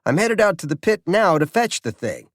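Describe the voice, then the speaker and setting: A man voicing a brash, excitable, cynical middle-aged game warden in an audiobook, talking informally and emphatically. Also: sits high, normal speed, no echo, clean studio sound, pitched above the performer's own voice. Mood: excited, urgent.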